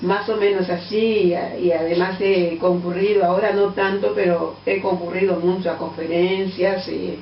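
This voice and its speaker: A woman's voice: on the low side, steady tone, moderate pace, vocal fry, hardly any pauses, a little hiss or hum. Angolan lady, portuguese accent, thinking aloud with lots of ums, sounds far off and a bit muffled. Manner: thoughtful, hesitant with many fillers. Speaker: interview guest, author. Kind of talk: radio interview. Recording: distant, roomy, dull lo-fi radio sound.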